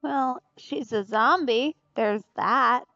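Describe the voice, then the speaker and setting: A woman's voice: on the high side, takes it slowly, sharp tone, no echo. A woman doing casual let's play commentary with wry, understated asides, on a close mic with slightly dull sound. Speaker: streamer, let's player.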